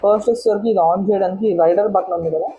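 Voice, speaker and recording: A woman's voice: medium pitch, steady tone, rapid-fire, slightly rough, narrow, tinny audio, soft background music. A woman vlogger giving a casual, step-by-step product walkthrough, often repeating words as she explains. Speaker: motorcycle vlogger and product reviewer.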